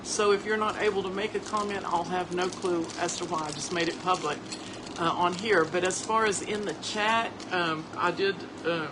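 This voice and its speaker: A woman, hoarse, hosting a casual livestream and talking to listeners in a chatty, folksy way like they are family. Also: fairly low pitch, steady tone, normal speed, noisy background. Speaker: host.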